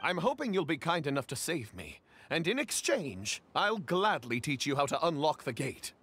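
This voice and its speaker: A man's voice: on the high side, sing-song, normal speed, fairly bright tone, slight background noise. A man playing an earnest, courteous young knight in a video game, speaking formally and with flowery politeness. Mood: courteous, grateful.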